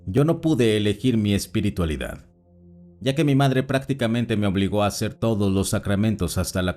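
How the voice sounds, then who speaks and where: A man with a fairly low voice reads personal stories in a calm, measured way. His intonation moves a lot, rising and falling through each sentence. Faint music plays under the voice-over. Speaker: narrator reading listener stories. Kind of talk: scripted narration of written accounts.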